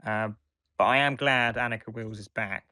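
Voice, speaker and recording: A man co-hosting a casual fan podcast, talking in a halting, hesitant way as he reviews. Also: on the low side, pushed and bright, dry recording. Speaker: podcast co-host.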